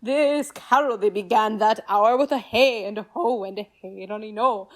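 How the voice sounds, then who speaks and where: A woman's voice: medium pitch, normal speed, natural voice. A woman playing a young page who sings a merry Elizabethan love song in a radio play.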